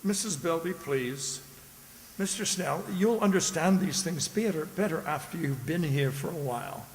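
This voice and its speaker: A man playing a courteous, fussy gentleman in a radio drama, polite and formal with a hesitant deference. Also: on the high side, lively intonation, moderate pace. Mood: smoothly patronizing. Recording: reverberant hall with faint hiss.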